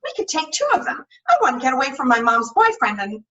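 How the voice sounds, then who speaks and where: A woman's voice: high-pitched, sing-song, fast, sharp tone, distant mic, highs cut off, voice pushed up, exaggerated voice. A woman voicing an eager, persuasive teenage girl in an audiobook-style story, gushing and pleading. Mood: coaxing. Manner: pleading, gushing, sing-song at times.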